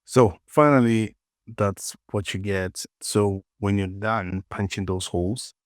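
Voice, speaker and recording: A man hosting a casual software tutorial, thinking aloud and muttering repetitively to himself as he works. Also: on the low side, slow pace, no room sound, clean studio sound. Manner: muttering, repetitive self-talk. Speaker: 3D modeling tutorial host.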